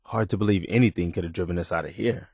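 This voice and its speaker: A dutiful militia officer in a video game. His voice is on the low side and recorded dry and close. He speaks plainly and earnestly, like a loyal idealist who believes in the cause. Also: gravelly, darkish tone, slightly rough, no echo, heavily acted. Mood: wary, determined. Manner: earnest, measured, plain-spoken. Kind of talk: video game character dialogue.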